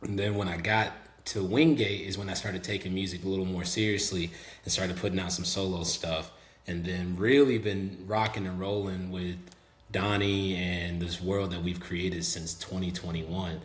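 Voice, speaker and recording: Southern man, low voice, echoey room. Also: moderate pace. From Memphis, Tennessee. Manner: relaxed, talkative, sprinkled with "um" and "you know". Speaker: guest rapper. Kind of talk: casual podcast interview. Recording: echoey room with faint hum.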